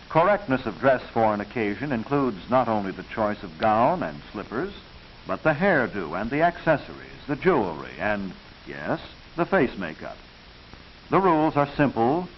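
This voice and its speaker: Male narrator, American, mid-century educational film style. Instructive, proper, expressive intonation, dull hissy vintage audio. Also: fairly low pitch. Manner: instructive, proper, measured enunciation. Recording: hissy, muffled 1940s film soundtrack.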